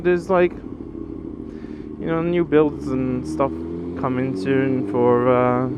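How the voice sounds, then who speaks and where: A man's voice: medium pitch, sing-song, extremely slow, gravelly. A man from Brazil in his twenties, chatting casually and rambling with fillers while he rides a motorcycle through city streets. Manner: rambling, loose, full of fillers and restarts. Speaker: motorcycle vlogger. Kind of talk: casual chat while riding. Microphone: action camera mounted on the motorcycle. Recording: muffled action-cam audio with loud road and engine noise.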